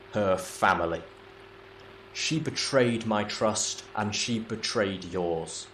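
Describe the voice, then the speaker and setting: A man voicing a stern but gentle female pony leader, speaking low and soft in a measured, solemn way. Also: echoey room, slight background noise, rough recording.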